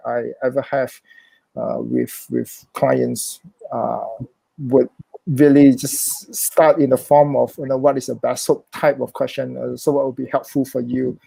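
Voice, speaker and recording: A man's voice: medium pitch, vocal fry, warm tone, no room sound. A man whose first language is Chinese, speaking English in a thoughtful, hesitant way with many restarts as an interview guest. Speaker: interview guest, social worker. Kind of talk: reflective conversational interview.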